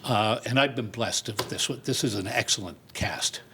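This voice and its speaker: A man's voice: fairly low pitch, normal speed, bright, forceful tone, slight background noise. An older man talking informally and good-humoredly in a television interview, explaining his work as a theater director. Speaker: community theater director and set designer.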